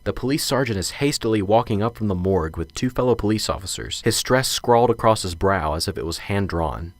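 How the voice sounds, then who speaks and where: A man's voice: on the low side, animated, brisk, no room sound. A man reading scripted narration for a radio drama.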